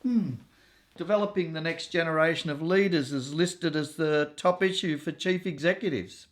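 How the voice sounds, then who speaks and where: A man's voice: a little high, moderate pace, natural voice. A man playing a jaded, sardonic older radio actor in a comedy about a long-running serial. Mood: sardonic, weary.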